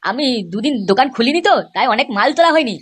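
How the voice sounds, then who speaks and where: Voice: high-pitched, animated, rapid-fire, fairly bright tone, husky, full character voice. A performer voicing a grumbling, foul-mouthed village fellow in a comic cartoon, colloquial. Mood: placating. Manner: complaining, colloquial.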